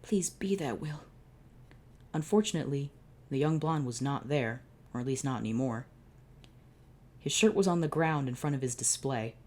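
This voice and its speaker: A woman's voice: rumbling low, moderate pace, a little mellow. A woman hosting her channel, reading fan fiction aloud and breaking off for sarcastic, profane asides. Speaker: channel host.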